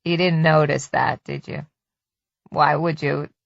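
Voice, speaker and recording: A woman voicing a middle-aged husband in an audiobook, calm and folksy, with menacing tenderness and patient condescension. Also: deep voice, a little slow, creaky, no room sound, pitched below the performer's own voice, exaggerated voice. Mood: coolly accusing. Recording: dull lo-fi dry audiobook recording.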